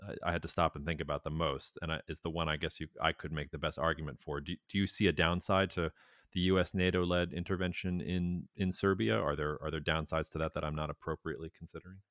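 A man's voice: very deep voice, steady tone, fast, a bit bright, no echo, studio quality. A man hosting a podcast interview, asking thoughtful, searching questions. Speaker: podcast host.

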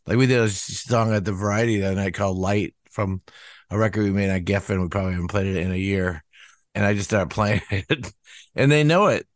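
An older man musician talks in a loose, rambling way, stacking up "you know"s and slipping into higher voices when he quotes people. His voice sits low. The sound is dry and close. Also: brisk, no echo. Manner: rambling, many "you know" fillers, acts out quotes in a raised voice. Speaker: musician guest. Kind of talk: casual interview talk.

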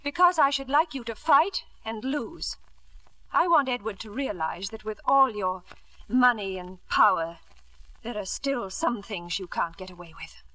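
High, bright wife. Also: female voice, a little slow, rough recording. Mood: cutting, bitter. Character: proud, disillusioned wife and protective mother, coolly bitter. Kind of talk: 1950s radio drama acting. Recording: vintage 1950s radio broadcast.

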